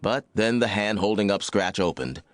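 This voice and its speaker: Low, expressive American male narrator. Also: normal speed, no room sound. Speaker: audiobook narrator. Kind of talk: scripted Western story narration.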